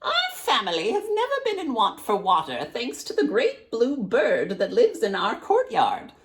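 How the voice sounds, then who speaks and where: Gravelly blind grandmother, swooping high. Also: wildly swooping pitch, normal speed. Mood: proud, confiding. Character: blind grandmother, smiling, secretive old village woman.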